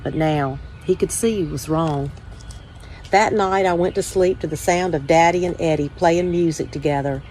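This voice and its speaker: A woman's voice: sits low, normal speed, a little mellow, a little hiss or hum, low-quality recording. A woman reading a children's storybook aloud as a first-person narration. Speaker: story time reader.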